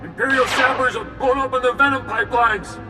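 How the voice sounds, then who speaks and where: A frantic mining foreman in a science-fiction game. He shouts in panic at an extremely high pitch, with long reverb and loud music around him. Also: brisk. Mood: panicked. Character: panicked male mining foreman calling for help.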